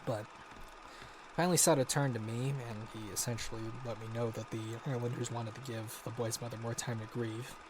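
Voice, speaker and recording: An actor playing a haunted American war veteran, recounting grim horrors haltingly in an audio drama log. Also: male voice, mid-range voice, steady tone, noisy background, natural voice. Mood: tense, sober. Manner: halting, sighing, hesitant delivery.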